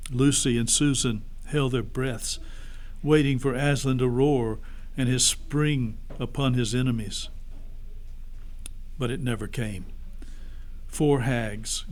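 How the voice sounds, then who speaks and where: A man narrating a story expressively, as a pastor reading aloud to children. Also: mid-range voice.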